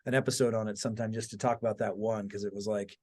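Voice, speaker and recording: A man's voice: fairly low pitch, steady tone, quick pace, a little mellow. Casual, chatty male podcast host. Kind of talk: casual chat.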